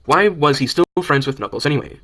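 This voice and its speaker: A man reading a scripted story as narrator, haltingly, often stumbling and rereading phrases. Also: a little high, expressive, a bit bright. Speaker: narrator of an amateur audio drama.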